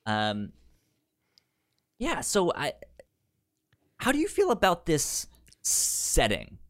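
A man co-hosting a casual film discussion podcast, speaking with a pronounced stutter that repeats sounds and syllables in a halting delivery. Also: fairly high pitch, wildly swooping pitch. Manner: halting, hesitant delivery with frequent restarts. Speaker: podcast co-host.

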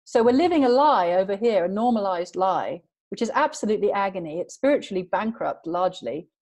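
A woman's voice: medium pitch, expressive, brisk, a little mellow. A woman guest in an interview, talking earnestly and emphatically in long flowing sentences. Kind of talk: passionate conversational interview.

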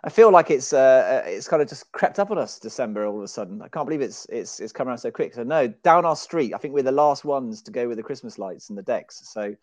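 A man's voice: medium pitch, lively intonation, fast talker, few pauses, darkish tone, dry recording. A man, a podcast guest who talks in a chatty, self-deprecating way and often restarts his sentences. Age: 40s. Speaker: guest, author and runner. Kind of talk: casual podcast conversation.

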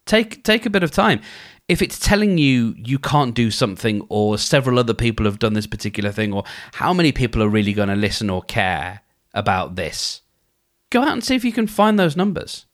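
Man, podcast host, solo monologue, animated intonation, casual thinking aloud. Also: mid-range voice, fairly quick. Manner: conversational, thinks aloud, acts out inner dialogues; frequent restarts and filler phrases.

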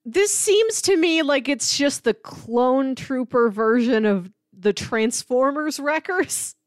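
A woman's voice: high voice, animated, slow pace, no echo, clean studio sound. A woman chatting casually as a podcast co-host, thinking aloud in a slightly hesitant way. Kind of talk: casual unscripted podcast chat.

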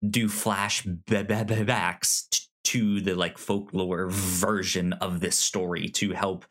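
A man co-hosting a casual film discussion podcast, speaking haltingly and often stammering over repeated syllables. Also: low voice, little pitch movement, a bit bright. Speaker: podcast co-host.

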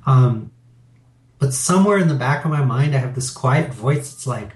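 A man's voice: mid-range voice, lively intonation, moderate pace. Middle-aged male interview guest, candid and reflective, roomy echo. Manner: candid, reflective, rambling with fillers. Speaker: interview guest, business owner. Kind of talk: casual interview talk.

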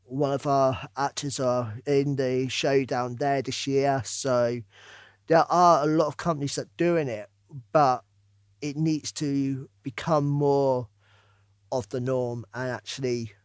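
A man's voice: medium pitch, fairly even intonation, slow pace, clear, resonant tone, dry recording, clean studio sound. A man chatting casually as an interviewer. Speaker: interviewer and theatre producer.

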